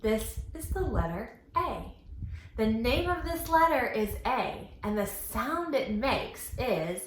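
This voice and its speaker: A woman hosting a children's storytime lesson, speaking in an animated, sing-song, instructional way and enunciating carefully for young children. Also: on the high side, slow pace. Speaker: children's library storytime host. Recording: roomy echo, faint background noise.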